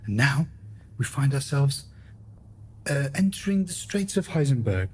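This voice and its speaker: A man's voice: medium pitch, very animated, unhurried, a little hiss or hum. A man narrating and hosting a radio play in a formal, theatrical storyteller's manner. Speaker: host and narrator. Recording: music behind the voice.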